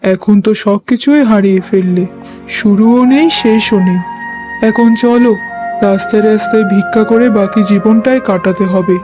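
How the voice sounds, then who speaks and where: A resigned husband , played by an actor, scolds with weary reproach in a cartoon melodrama. The voice sits at a middling pitch, with music clearly playing behind it. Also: measured pace, close to an everyday voice. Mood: bitter reproach.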